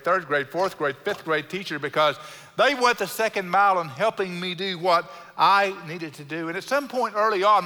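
A middle-aged pastor with a South Houston Texas drawl delivers an earnest sermon. His voice is bright and forceful, a little high for a man. A long church-hall reverb trails behind his words. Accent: South Houston Texan. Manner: earnest, repeats phrases for emphasis.

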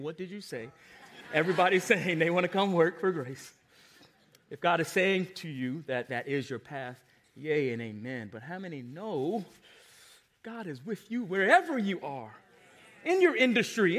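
An American man in his forties preaching a sermon as a pastor, emphatic and given to repeated refrains, moving between hushed asides and loud exclamations. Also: high voice. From Long Island, New York, United States. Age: 40s.

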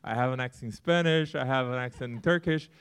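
A man from Brazil with a Brazilian Portuguese accent, presenting his church-plant vision to a congregation with earnest, animated talk and some self-deprecating humor. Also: mid-range voice, quick pace, clear, resonant tone.

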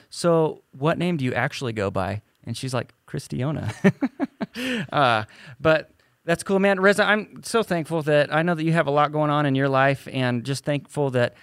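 A man's voice: mid-range voice, smooth, no room sound, studio quality. A man co-hosting a podcast, chatting casually and laughing often as he tells rambling stories. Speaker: podcast co-host.

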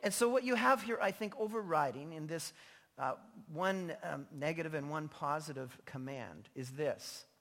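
A man's voice: a little high, moderate pace, fairly bright tone. A man preaching a sermon as a pastor, emphatic yet informal and conversational, his voice slightly strained. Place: large indoor church hall. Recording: echoey large hall, long reverb.

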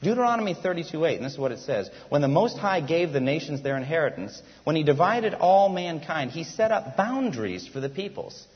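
A man's voice: on the high side, sing-song, fairly quick, hardly any pauses. Earnest male preacher in a reverberant hall. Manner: earnest, emphatic, rhetorical questions. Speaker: pastor. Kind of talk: sermon. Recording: dull lo-fi church hall recording.

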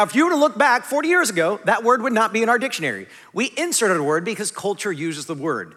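A man in his forties preaching a conversational sermon, animated and self-deprecating. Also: sits high. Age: 40s. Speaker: pastor. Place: large church hall. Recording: long hall reverb.

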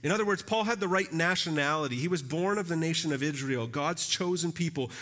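A man preaching a sermon as a pastor, in a conversational, animated way with casual phrasing. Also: on the high side, a little fast. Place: church.

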